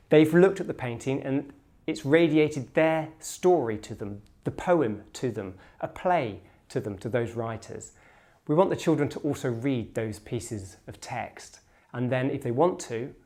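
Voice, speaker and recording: A man's voice: medium pitch, a little mellow. A man speaking as an artist in a promotional interview, explaining things to the audience. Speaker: artist, painter.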